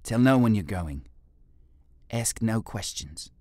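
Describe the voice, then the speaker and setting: A man's voice: on the low side, expressive, slow pace, warm tone, no echo, studio quality, voice pushed up. A man voicing a commanding, fierce female ape pack leader in an audiobook, curt and demanding.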